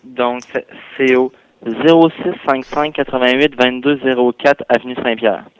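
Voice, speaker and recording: A man's voice: mid-range voice, fairly even intonation, normal speed, no room sound. A man with a Quebec French accent working as a hotel reservation clerk, speaking politely and in a businesslike way on a phone call. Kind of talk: customer service phone call. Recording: phone line with clear noise and clicks.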